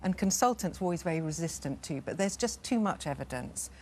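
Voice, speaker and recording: A woman with a fairly low voice gives firm opinions as a guest on a television panel. She speaks casually and uses fillers as she argues her case. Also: lively intonation, a little fast, a little mellow, a little hiss or hum. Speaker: newspaper review guest. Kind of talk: casual studio discussion. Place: television studio.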